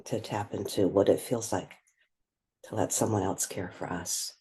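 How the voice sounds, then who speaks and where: A woman leading a guided meditation, speaking gently and without hurry, leaving long pauses between phrases. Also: extremely low pitch, steady tone. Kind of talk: guided meditation, slow and spoken softly.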